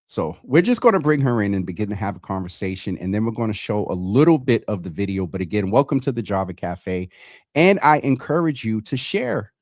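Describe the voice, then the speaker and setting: Low-voiced man hosting a talk show. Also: sing-song, no echo, clean studio sound. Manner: halting, repeats words, roundabout. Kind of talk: live community talk show conversation.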